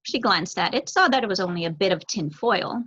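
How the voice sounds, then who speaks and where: Animated young woman reading a story. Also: mid-range voice, fairly quick, breathy edge, dull audio. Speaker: story reader. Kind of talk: expressive children's book read-aloud.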